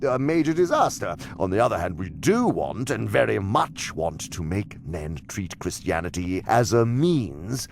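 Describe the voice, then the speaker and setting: An actor playing a cunning, condescending senior demon who lectures with imperious scorn in an audio drama. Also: male voice, fairly low pitch, big pitch swings, gravelly, slight background noise, full character voice. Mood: calculating, instructive. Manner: scornful, deliberate, theatrical lecturing. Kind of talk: audio drama monologue-like instruction.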